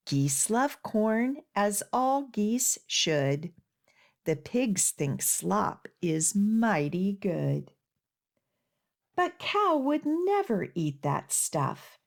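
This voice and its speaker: A woman hosting a children's storytime as a librarian, reading aloud in a sing-song, deliberate way for young listeners. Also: medium pitch, a little mellow.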